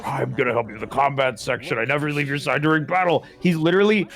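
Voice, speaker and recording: A man giving casual group commentary, often restarting his phrases in a halting way. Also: mid-range voice, vocal fry, fairly bright tone. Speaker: cast member giving commentary.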